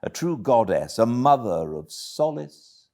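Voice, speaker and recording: A British man narrating a classic storybook as an audiobook reader, with expressive delivery. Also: medium pitch, measured pace. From Britain.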